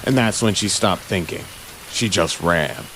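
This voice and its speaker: A man's voice: sits low, measured pace, background noise. A man narrating an audio drama in a suspenseful, dramatic scripted style, with short punchy phrases.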